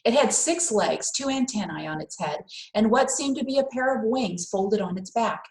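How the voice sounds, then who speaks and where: A woman reading a children's story aloud in a careful, expressive storytelling manner with dramatic pauses. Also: a little high. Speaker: read-aloud host. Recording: roomy, echoing indoor sound.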